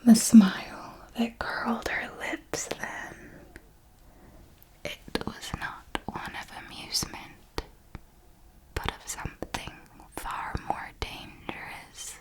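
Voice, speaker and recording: A woman playing an ancient, refined female vampire mentor in an ASMR roleplay, telling her story in a deliberate, theatrical and elegant manner. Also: fairly high pitch, fairly even intonation, low-quality recording. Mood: wistful, elegant menace. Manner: slow, deliberate, theatrical, elegant old-fashioned phrasing.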